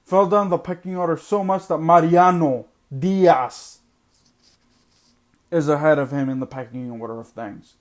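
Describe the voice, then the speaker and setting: A man with a high voice hosts a sports podcast and rants bluntly, hammering his points home by repeating them. His voice is going hoarse because he is losing it. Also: a little slow. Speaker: podcast host. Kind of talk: opinionated sports podcast monologue.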